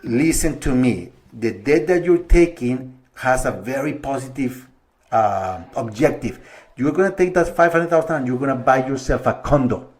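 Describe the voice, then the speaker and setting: A middle-aged man from Colombia, a mortgage broker talking viewers through figures step by step in a relaxed, conversational way. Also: mid-range voice, moderate pace. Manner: conversational, walks through numbers step by step, slight restarts. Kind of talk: explanatory video monologue to viewers.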